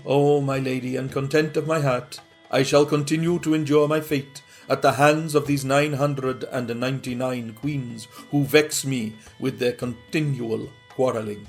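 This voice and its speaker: A man voicing a wise, gentle king in a storybook reading, speaking mildly and measuredly. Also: mid-range voice, steady tone, soft background music, close to an everyday voice. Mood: patient. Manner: mild, measured, kindly.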